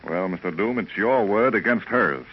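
A man's voice: on the low side, normal speed, slight background noise, lightly acted. A man playing a stern, determined police detective in an old radio drama, firm and no-nonsense. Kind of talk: 1940s radio acting. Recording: muffled old radio recording.

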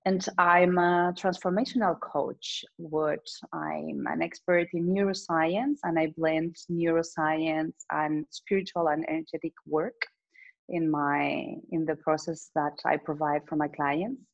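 A woman from Spain with a Spanish accent, a transformational coach co-hosting a casual conversation, reflective and quick to laugh. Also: deep voice, steady tone, gravelly, highs cut off. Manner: reflective, laughs often, restarts sentences.

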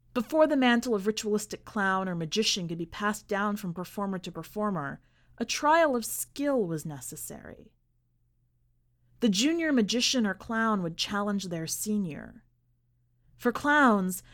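A woman reads a literary short story aloud at a mid-range pitch. Her delivery is measured and deliberate, and she leaves dramatic pauses between phrases. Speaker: podcast host and story reader.

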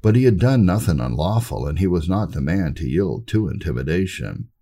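A man narrating a scripted history audiobook in a formal, measured, oratorical way. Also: low voice, very animated, warm tone. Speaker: narrator reading church history.

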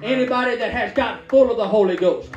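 A man's voice: high voice, a little fast. A man preaching a fervent Pentecostal sermon, exhorting emphatically and repeating phrases while the congregation calls back. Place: church hall during a service. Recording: echoey hall with congregation responding. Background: congregation calling out responses.

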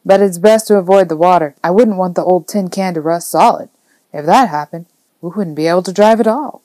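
A woman's voice: lowish voice, fairly quick, dark tone, no room sound, natural voice. A woman voicing a friendly, easygoing man in an audiobook, casual and familiar with a grumbling streak. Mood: easy, apologetic. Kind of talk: audiobook character dialogue.

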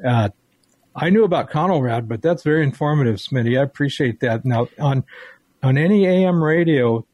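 A man's voice: medium pitch, lively intonation, moderate pace, dry recording, lo-fi sound. A man with an American accent hosting a podcast in a casual, rambling, folksy way. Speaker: podcast host.

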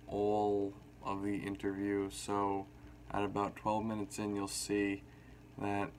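A man giving listeners a shrugging, matter-of-fact notice about a recording glitch. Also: on the low side. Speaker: podcast host. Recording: gravelly voice over noticeable hiss.

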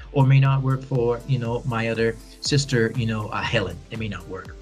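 A man in his forties, a bilingual educator answering interview questions in an earnest, encouraging way and often repeating phrases for emphasis. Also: medium pitch. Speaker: podcast guest, bilingual educator.

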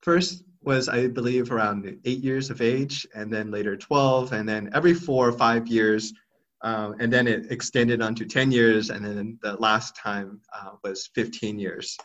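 A man in his thirties, originally from Thailand, answering interview questions thoughtfully with frequent pauses and restarts. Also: mid-range voice, fairly even intonation, a bit bright, highs cut off. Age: 30s. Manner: thoughtful, hesitant, many restarts and fillers. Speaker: podcast guest.

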